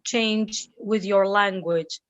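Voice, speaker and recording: An English teacher from Kurdistan with a Kurdish accent, speaking earnestly on a video call and repeating words while searching for them. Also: female voice, mid-range voice, fairly even intonation, slow, fairly bright tone, no room sound, muffled recording. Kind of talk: casual video call interview.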